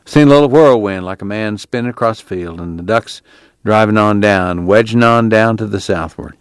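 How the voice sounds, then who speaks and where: A man doing a deep weary farmer father. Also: smooth, no room sound, natural voice. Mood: quiet. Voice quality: slow rural drawl.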